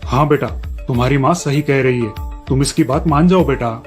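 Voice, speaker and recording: A man's voice: mid-range voice, normal speed, faint music behind, natural voice. A man voicing a mild, agreeable older father in a cartoon family story. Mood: placating.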